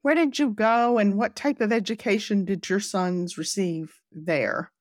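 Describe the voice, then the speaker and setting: Dry-sounding woman interviewer, medium pitch. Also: measured pace, dry recording. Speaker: interviewer, senior writer. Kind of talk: podcast interview.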